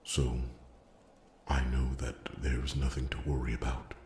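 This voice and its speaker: A man's voice: very deep voice, monotone, normal speed, darkish tone, echoey room, a little hiss or hum. A man voicing an earnest, wordy dwarf loyal to royalty, stammering and repeating himself deferentially in an ASMR fantasy roleplay. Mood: earnest, reverent. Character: rambling, earnest, wounded-pride dwarf smith loyal to royalty.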